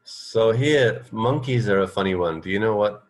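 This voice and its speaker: A man co-hosting a radio show, chatting casually about trivia and thinking aloud in a halting way. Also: on the low side.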